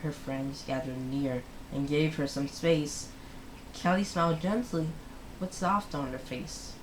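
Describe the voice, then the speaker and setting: A woman hosting a read-aloud, reading slowly for young children in the manner of a picture book. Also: low-pitched, a little hiss or hum, poor audio.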